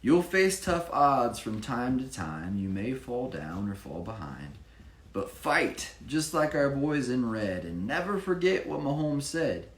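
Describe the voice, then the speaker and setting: A man reading his own children's picture book with expressive emphasis, pausing to explain it casually to a child. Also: medium pitch. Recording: echoey room with faint background noise.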